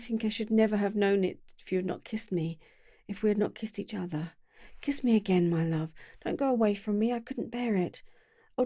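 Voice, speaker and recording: A woman's voice: medium pitch, warm tone, dry recording, clean studio sound, pitched above the performer's own voice, natural voice. A woman voicing a heartbroken young actress who pleads desperately.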